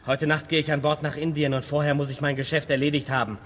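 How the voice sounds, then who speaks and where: An actor playing a grim, haunted sailor bent on revenge, terse and intense, in a radio play. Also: male voice, a little high, little pitch movement, quick pace, fairly bright tone, close to an everyday voice. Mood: menacing. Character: grim, vengeful stocky sailor, hard and haunted. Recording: long hall reverb, faint background noise.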